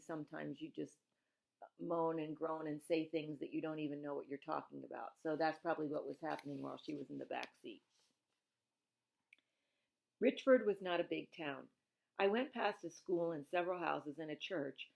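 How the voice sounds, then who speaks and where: Low-pitched woman reading a story aloud. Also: vocal fry, a little mellow. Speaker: reader of a children's novel who pauses to explain words. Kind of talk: expressive read-aloud storytelling with informal asides.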